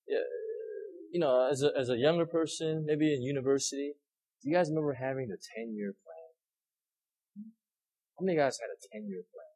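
A man's voice: mid-range voice, a little mellow, rough recording. A man preaching a sermon as a pastor, talking loosely with many fillers, restarts and questions to the congregation. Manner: rambling, many fillers and repeated words, asks the audience questions.

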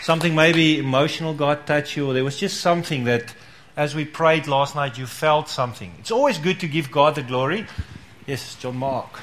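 A man's voice: fairly high pitch, normal speed, a bit bright, slight background noise. A man leading a church gathering, inviting testimonies and encouraging people with repeated words of praise. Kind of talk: casual church meeting talk.

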